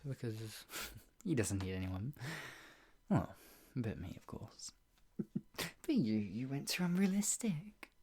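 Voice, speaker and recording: A performer playing a sadistic, obsessive yandere captor in an improvised ASMR roleplay, creaky, taunting and drawn out, slipping between talk and whispers. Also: mid-range voice, darkish tone, heavily acted. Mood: gleeful taunting. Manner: taunting, drawn-out delivery with frequent giggles and whispers.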